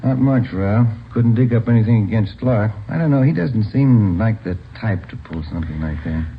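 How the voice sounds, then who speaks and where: An actor playing a plain-spoken, puzzled police detective partner in an old radio crime drama, talking casually. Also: male voice, lowish voice, normal speed, close to an everyday voice. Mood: frustrated. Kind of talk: 1940s-50s radio crime drama acting. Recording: tinny, faintly noisy old radio recording.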